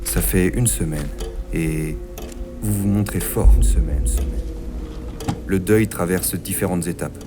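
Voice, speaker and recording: A man playing a calm, concerned therapist in an audio thriller, speaking in a measured, reassuring and earnest way. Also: deep voice, over music. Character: calm, concerned male therapist treating a grieving patient. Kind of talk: dramatic audio thriller acting and narration.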